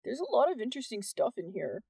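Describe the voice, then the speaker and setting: A woman's voice: a little high, sing-song, quick pace, vocal fry, warm tone, breathy edge, no echo, clean studio sound, heavily acted. A woman narrator gives voice to a fifteen-year-old boy in an audiobook novel. He is laid-back and nonchalant. His delivery is casual, like everyday talk among classmates.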